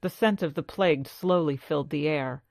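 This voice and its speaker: A woman storyteller reading scripted fantasy narration in a measured, dramatic way. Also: low voice, a little mellow, no room sound. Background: music.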